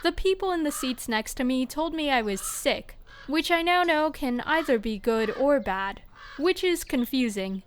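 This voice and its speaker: A woman's voice: high-pitched, lively intonation, moderate pace, a little hiss or hum. A woman playing a weird, secretive lab worker in an audio fiction podcast, speaking in a polite, formal, quirky way. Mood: delighted. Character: odd, cheerful, secretive woman lab worker who relishes unpleasantness.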